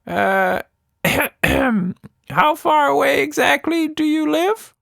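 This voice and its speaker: A man's voice: extremely high pitch, animated, takes it slowly, creaky, a bit bright, no echo, clean studio sound, voice pushed up, full character voice. A man voicing a wry, easygoing neighbor lady in a children's story. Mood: wary.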